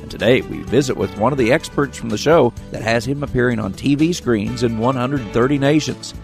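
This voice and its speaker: A man narrating a news feature story in a measured, scripted delivery. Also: medium pitch, gravelly, soft background music. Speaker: reporter.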